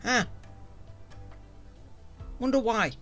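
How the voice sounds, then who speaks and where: A woman giving casual, halting gameplay commentary, reacting as she plays. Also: on the high side, expressive, fairly bright tone. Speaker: game streamer. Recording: game music under the voice.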